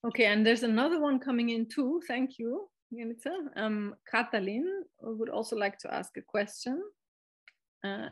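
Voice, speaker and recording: A woman moderating an online seminar on a video call, talking in a loose, rambling way with many fillers. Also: medium pitch.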